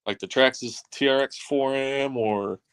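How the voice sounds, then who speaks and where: A man's voice: mid-range voice, a little slow, fairly bright tone, clear, resonant tone, no echo. Eager man talking shop, dry sound. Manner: enthusiastic hobby talk. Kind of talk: casual chat.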